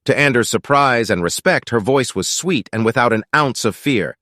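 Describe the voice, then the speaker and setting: A man's voice: medium pitch, animated, normal speed, a bit bright, no echo, studio quality. A man reading scripted fiction as an audiobook narrator.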